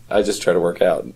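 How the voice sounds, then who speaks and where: A man co-hosting a podcast in casual chat, with a slightly husky voice and a questioning, conversational way of talking. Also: low-pitched, a little mellow. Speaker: podcast co-host.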